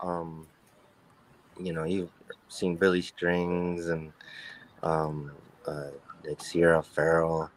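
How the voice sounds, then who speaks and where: A baritone man being interviewed as a guest musician over a video call, talking in a halting, rambling way with many restarts. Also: fairly bright tone, rough recording. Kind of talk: casual interview chat. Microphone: webcam on a video call.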